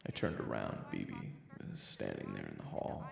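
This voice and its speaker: A man acts out a reformed criminal turned protective family man in an audio drama. His voice is low and creaky, swinging widely in pitch. He sounds weary and halting, in both narration and dialogue. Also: big pitch swings, full character voice. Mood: brooding. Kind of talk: audio roleplay acting with first-person narration.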